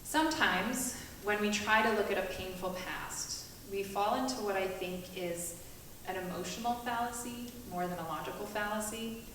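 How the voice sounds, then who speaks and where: A woman's voice: mid-range voice, fairly bright tone. Echoey, distant woman giving a prepared speech. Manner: earnest, deliberate phrasing with emphatic repetition. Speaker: panelist. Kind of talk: prepared speech read aloud at a panel. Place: echoey meetinghouse hall, distant mic. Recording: very echoey, distant mic, faint noise.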